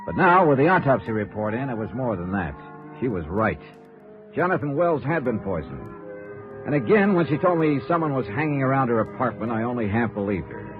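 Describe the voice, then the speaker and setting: An actor playing a tough, wry insurance investigator in 1950s radio noir, clipped and dry. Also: male voice, medium pitch, big pitch swings, brisk. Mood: brooding narration. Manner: clipped, hard-boiled, dry. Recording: dull vintage radio recording, faint music.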